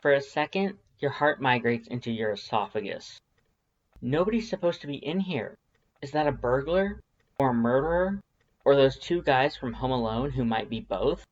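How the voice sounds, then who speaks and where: An expressive audiobook narrator with a middling pitch, reading fiction in a wry, conversational manner. The home recording sounds a bit dull. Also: normal speed. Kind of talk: expressive scripted story narration.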